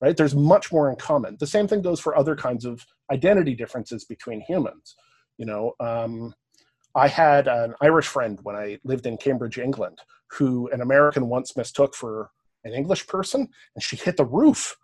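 A Canadian man talks through ideas on a podcast in a loose, lecture-like way. His mid-pitched voice has a husky grain to it. He keeps checking in with "right?" and lets a curse slip now and then. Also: lively intonation, moderate pace, darkish tone. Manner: thinks aloud, often checks in with "right?", occasional swearing. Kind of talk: casual podcast discussion, lecture-like.

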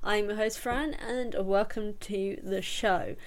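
A woman's voice: medium pitch, fairly even intonation, slow. British woman with a stuffy cold. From Britain. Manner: chatty and self-deprecating, with frequent ums and giggles. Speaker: podcast host. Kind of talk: solo book podcast chat.

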